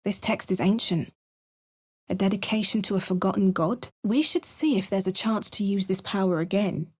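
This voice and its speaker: A woman's voice: mid-range voice, moderate pace, darkish tone, husky, close to an everyday voice. A woman voicing a wry, battle-hardened adult adventurer who makes dry, sardonic asides and narrates her journey. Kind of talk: video game character barks and narration.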